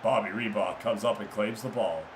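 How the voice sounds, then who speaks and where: A man's voice: lowish voice, normal speed, noisy background. A man doing live, informal play-by-play of a football game, chatting casually with viewers between passes. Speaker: streamer commentating a football management game.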